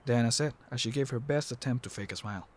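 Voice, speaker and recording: A man's voice: medium pitch, quick pace. A man serves as narrator of a scripted audio drama. His voice is mid-range, and he reads the story in prose.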